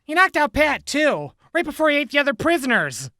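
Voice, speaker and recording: A woman's voice: high-pitched, very animated, a little fast, bright, forceful tone, no echo, clean studio sound, full character voice. A woman playing an anxious, earnest deputy, ready for trouble, in a post-apocalyptic radio drama. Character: anxious, trigger-ready deputy named Carter.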